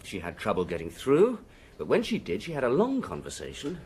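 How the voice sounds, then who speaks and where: An actor playing a dutiful police detective, reporting in measured, factual, clipped phrases in a radio drama. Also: male voice, mid-range voice, huge pitch swings, darkish tone, slight background noise. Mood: matter-of-fact.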